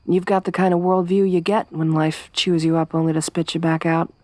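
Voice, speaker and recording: A young woman voicing a tough, tomboyish survivor companion in an ASMR roleplay, speaking softly with a wry, weary warmth. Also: lowish voice, little pitch movement, brisk, dry recording, close to an everyday voice. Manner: soft-spoken, wry, quietly reflective.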